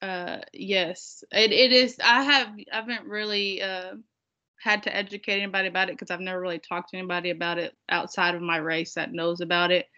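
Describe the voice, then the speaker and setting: A woman's voice: lowish voice, flat delivery, brisk, sharp tone. A young woman, a native Spanish speaker, chatting casually and a bit hesitantly as a guest on a video call. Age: young adult. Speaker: seminar guest. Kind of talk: casual conversation. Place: indoor room on a video call. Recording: dull, lo-fi video call audio.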